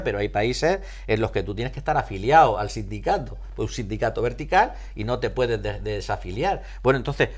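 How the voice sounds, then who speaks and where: A man giving explanatory interview answers in a didactic way, posing and repeating rhetorical questions and often searching for words. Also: medium pitch. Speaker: psychologist being interviewed.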